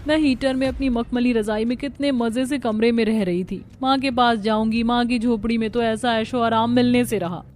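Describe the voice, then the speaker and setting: A woman's voice: a little high, steady tone, normal speed, few pauses, darkish tone, a little hiss or hum, lightly acted. A woman voicing a spoiled, demanding young newlywed daughter in a cartoon, whiny and entitled. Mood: grumbling.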